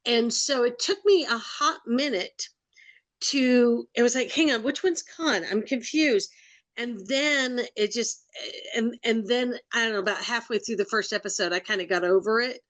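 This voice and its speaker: A woman's voice: on the high side, normal speed, fairly bright tone. A woman co-hosting a podcast, chatting casually with frequent fillers. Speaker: podcast co-host. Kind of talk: casual chat.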